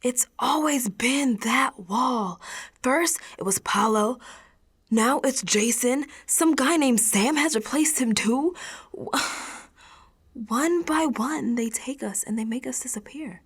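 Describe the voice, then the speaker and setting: An actress playing a frightened, defiant young woman who is unraveling, in a colloquial, halting radio drama monologue. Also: high voice, husky, exaggerated voice. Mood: distraught, defiant. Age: young adult. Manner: colloquial, halting, slow, drifting into hushed tones.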